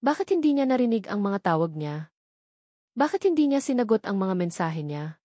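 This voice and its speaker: A woman's voice: medium pitch, sing-song, moderate pace, mellow, no room sound, studio quality. A woman reading a scripted love story as a dramatic narrator.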